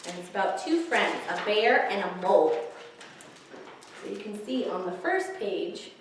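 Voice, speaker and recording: A woman's voice: mid-range voice, animated, a little slow, a little hiss or hum. A woman hosting a story time for young children, speaking in simple, encouraging phrases as she introduces and reads picture books. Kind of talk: children's story time, reading and singing along. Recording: very echoey room, far from mic.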